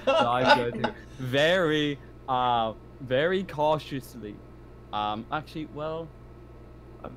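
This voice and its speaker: A man's voice: a little high, very animated, slow pace, over a noisy crowd. A man playing a tabletop roleplaying game in casual chat, hesitant, often repeating himself and swearing.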